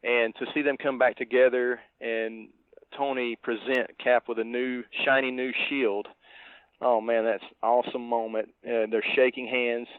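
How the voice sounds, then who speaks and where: A man's voice: medium pitch, little pitch movement, moderate pace, smooth, dry recording. A man co-hosting a podcast, chatting easily about a film and leaning on lots of fillers. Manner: easygoing, full of "you know" fillers. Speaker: podcast cohost. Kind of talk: casual film review chat. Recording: dry, tinny phone-like line.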